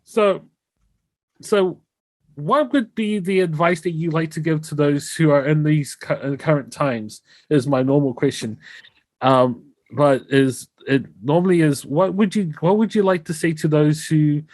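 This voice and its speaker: A man's voice: fairly high pitch, measured pace, darkish tone, dry recording. A man with a New Zealand accent hosting a casual podcast interview, speaking in an effusive, appreciative way.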